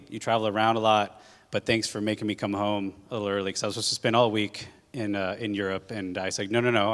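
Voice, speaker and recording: Low-voiced man in reverberant hall. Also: a bit bright. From Hawaii. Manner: conversational, with many filler ums and uhs. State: jet-lagged. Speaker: guest speaker, corporate general manager. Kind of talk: casual public talk to students.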